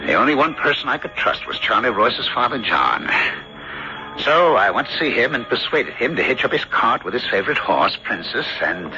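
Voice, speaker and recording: A man playing a sober, sceptical country doctor who narrates a ghost mystery in measured, earnest radio drama style. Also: medium pitch, sing-song, sharp tone, heavily acted. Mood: grave, brooding. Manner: measured, earnest, theatrical storytelling. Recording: dull vintage radio broadcast, music underneath.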